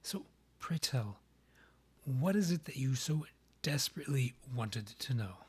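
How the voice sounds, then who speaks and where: Man playing a breathy, slow-spoken, proud vampire king. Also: mid-range voice, lively intonation, a little mellow. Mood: stiff, defensive. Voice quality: breathy, often near a whisper. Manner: formal, courtly, halting when flustered. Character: proud, guarded vampire king still in love with ex. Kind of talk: romantic ASMR audio roleplay.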